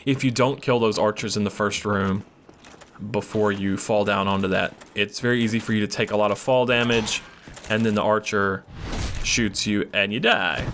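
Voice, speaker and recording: A man's voice: lowish voice, fairly even intonation, moderate pace, fairly bright tone, slight background noise. A man casually commenting while he plays a video game, rambling and swearing, losing his voice and coughing. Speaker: gaming channel host. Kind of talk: casual let's play commentary.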